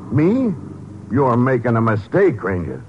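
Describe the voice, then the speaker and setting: An old radio drama recording with some noise. a male con artist, ingratiating and falsely polite, his pitch moving up and down with lively swings. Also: unhurried. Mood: indignant, protesting. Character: smooth-talking male swindler, wary and falsely courteous. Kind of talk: 1950s radio drama acting. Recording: noisy, dull 1950s radio recording.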